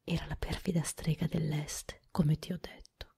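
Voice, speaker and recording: A woman voicing a kind, calm little old witch in a whispered storybook reading. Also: sits low, moderate pace, gravelly, slightly rough, full character voice. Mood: gentle.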